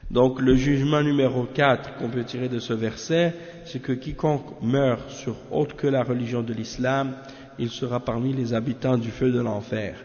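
Gravelly man with a cold, halting. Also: medium pitch, fairly even intonation, darkish tone. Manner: halting, many restarts and fillers. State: has a cold. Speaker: translator of a religious commentary. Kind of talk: reading Arabic text, then explaining in French. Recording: muffled roomy lo-fi recording.

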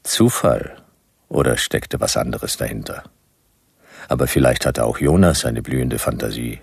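An actor playing a world-weary private detective, narrating a radio drama in a dry, laconic, sardonic way. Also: male voice, rumbling low, measured pace, no echo, full character voice. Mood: dry, wry. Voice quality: gravelly.

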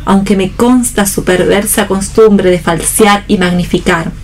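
An Argentine woman with a medium-pitched voice takes part in a theatre programme on the radio. She speaks as a panelist and reader of literary texts. There is light noise and ambience behind her. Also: a little mellow. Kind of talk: literary reading aloud and round-table talk.

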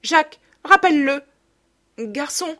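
Woman playing a loud, bossy provincial mother. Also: high voice, lively intonation, slow, voice pushed up, full character voice. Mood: urgent.

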